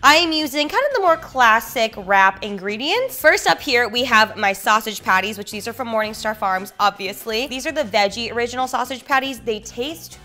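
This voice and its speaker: A young woman in her twenties hosting a cooking vlog from a bright home kitchen, bubbly and animated as she walks through a recipe. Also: fairly high pitch, normal speed, hardly any pauses. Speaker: cooking show host.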